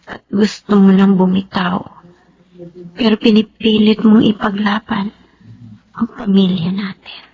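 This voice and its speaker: A Filipino woman playing a troubled, loving young wife and mother in a radio drama, with a breathy, emotional, melodramatic delivery. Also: mid-range voice, muffled recording, heavily acted.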